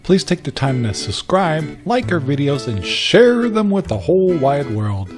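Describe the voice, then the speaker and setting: A man's voice: a little high, sing-song, a little slow. A man reading a scripted podcast outro as host. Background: plucked guitar music.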